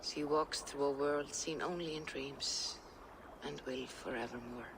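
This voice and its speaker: An actress playing a mystical seer who speaks softly and slowly. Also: female voice, very deep voice, fairly even intonation, background noise. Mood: serene. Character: female viking seer, serene and mystical. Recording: game cutscene over noticeable ambience.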